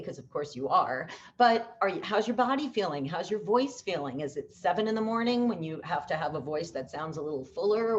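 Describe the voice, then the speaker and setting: Low-pitched emphatic woman coach, dull recording. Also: a little mellow. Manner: emphatic, repeats key words for stress; conversational, asks rhetorical questions. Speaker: voice-over coach. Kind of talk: instructional talk with tips.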